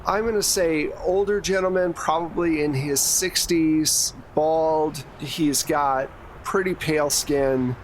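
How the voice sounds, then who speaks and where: A man's voice: fairly high pitch, fairly even intonation, slow pace, poor audio. A man running a tabletop game as game master, narrating casually and informally with joking asides. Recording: clear background noise.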